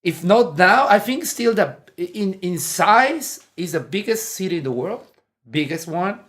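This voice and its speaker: Interview guest: Mexican man, 40s, Spanish native, slightly high voice, friendly and rambling, filmed indoors close up. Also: expressive, measured pace, a bit bright. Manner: friendly, laughs often, rambling with restarts. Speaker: interview guest, martial arts instructor. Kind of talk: casual interview chat. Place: indoor room, seated.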